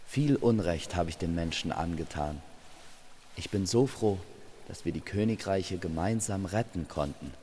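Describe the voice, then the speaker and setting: A man's voice: low-pitched, expressive, measured pace, mellow, in a large hall, a little hiss or hum. A man voicing a humbled winged male love spirit, sincere and grateful, in a storybook audio drama. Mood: grateful, relieved.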